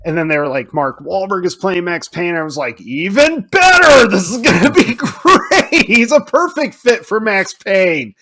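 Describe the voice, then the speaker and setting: A middle-aged man hosting a podcast, chatting casually and emphatically about films and games, with animated delivery and free swearing. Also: high-pitched, unhurried, few pauses. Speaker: podcast host. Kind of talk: casual film and game chat.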